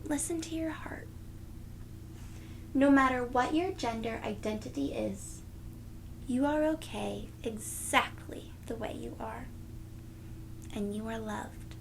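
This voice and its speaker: A woman reads a storybook to little children in a high voice with a creaky edge. She is expressive and gentle, taking her time and talking straight to the listeners. There is faint noise in the background. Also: takes it slowly, pauses often. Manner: expressive, gentle, addressing young children directly. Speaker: children's read-aloud host. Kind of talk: storybook read-aloud for young children.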